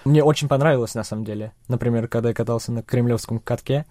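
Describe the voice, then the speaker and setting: A young man who grew up in Czechia answers interview questions in a measured, hesitant way with many fillers. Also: mid-range voice, mellow, no room sound, clean studio sound. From Czech Republic. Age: young adult.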